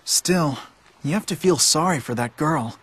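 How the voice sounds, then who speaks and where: A man's voice: fairly high pitch, animated, measured pace, fairly bright tone, breathy edge, full character voice. A man voicing a streetwise, cynical boy in a video game, blunt and matter-of-fact, like a bossy older friend. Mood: knowing, blunt. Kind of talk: video game cutscene voice acting.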